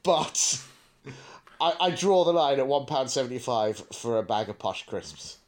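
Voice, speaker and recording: A man's voice: mid-range voice, animated, a little slow. A British man co-hosting a podcast, chatting casually in a wry, mock-serious way. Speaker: podcast co-host.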